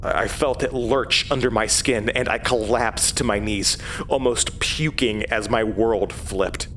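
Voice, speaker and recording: An actor playing a haunted man, narrating a horror audio drama in the first person with grave, measured hesitation. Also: fairly low pitch, steady tone, a bit bright, slight background noise. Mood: haunted, tense. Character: haunted man recounting his horrifying past. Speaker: narrator and lead character.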